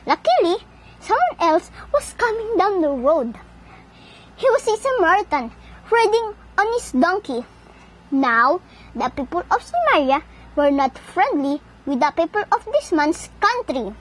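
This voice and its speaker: Female storyteller, very high voice, lively intonation, deliberate read-aloud delivery for children. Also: low-quality recording.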